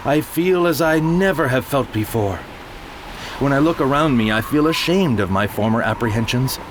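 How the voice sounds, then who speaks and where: A man's voice: medium pitch, background noise. A man narrating a first-person audio drama in a grave, theatrical manner. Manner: grave, theatrical, rising to frantic cries. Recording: music bed under the voice. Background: music.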